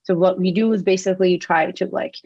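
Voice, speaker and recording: Interview guest, young woman raised in Iran, lowish voice, talks through ideas with fillers and restarts, dull lo-fi recording. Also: fairly even intonation, a little fast, darkish tone, breathy edge, no echo. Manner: explanatory, with frequent fillers and self-corrections. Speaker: podcast guest, company CEO. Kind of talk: conversational interview answers. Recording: dull lo-fi sound.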